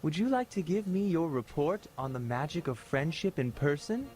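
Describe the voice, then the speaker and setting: A woman's voice: low voice, very animated, normal speed, warm tone, soft background music. A woman voicing a regal adult pony princess in a parody cartoon, stately and breezily condescending. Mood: gracious, patronizing. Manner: stately, patronizing.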